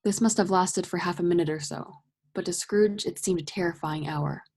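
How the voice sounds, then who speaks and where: Husky, lower-voiced woman narrator. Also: normal speed, a little mellow, soft background music. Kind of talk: scripted radio play storytelling narration.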